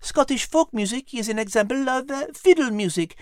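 A man voicing a refined, gentle female animal with a French accent in a children's storybook, speaking precisely and genteelly. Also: extremely high pitch, lively intonation, brisk, no room sound, studio quality, pitched above the performer's own voice. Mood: composed, instructive. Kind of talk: children's storybook character reading.

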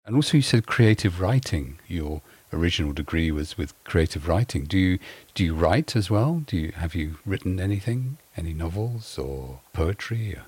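Deep husky male interviewer. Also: animated, a little mellow, no room sound. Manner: polite, halting questions with restarts. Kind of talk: casual interview questions.

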